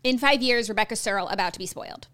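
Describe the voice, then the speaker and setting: A woman co-hosting a podcast, chatty and teasing, reacting quickly in casual conversation. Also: medium pitch, a bit bright. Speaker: podcast co-host. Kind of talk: casual chat.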